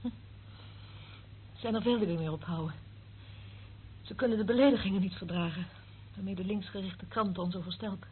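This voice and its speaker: A woman's voice: mid-range voice, brisk, long pauses, natural voice. An actress playing a cynical female eavesdropper in a radio play, sardonic and theatrical, relishing what she knows. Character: cynical, gloating female eavesdropper of a secret service. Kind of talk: radio drama acting. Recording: narrow tinny old radio recording, faint noise.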